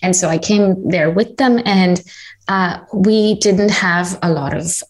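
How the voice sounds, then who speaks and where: A woman from Tanzania telling her personal story as a guest in a conversation, earnest and animated, with many restarts and "you know". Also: on the low side, measured pace.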